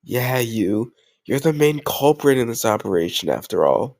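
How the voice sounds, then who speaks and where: A man's voice: mid-range voice, big pitch swings, normal speed, darkish tone, no room sound. A man playing a tough gang leader who is a playful, doting boyfriend in an intimate roleplay audio, teasing and mock-grumbling affectionately. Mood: playful banter.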